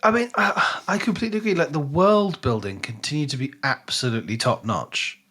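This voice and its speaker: A man's voice: on the high side, fairly quick, sharp tone, slightly rough. A man co-hosting a book podcast in casual discussion, often voicing imagined reactions as he talks.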